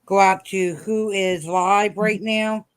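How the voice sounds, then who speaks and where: A live stream host giving casual shout-outs to other streamers. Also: mid-range voice, steady tone, slow pace, smooth.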